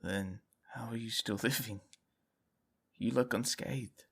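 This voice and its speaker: A man playing a stubborn, protective, troubled young guardsman in an audio drama, speaking in a halting, earnest way. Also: medium pitch, fairly even intonation, vocal fry, a bit bright, slightly rough, exaggerated voice. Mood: stunned concern. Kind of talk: dramatic audio fiction acting.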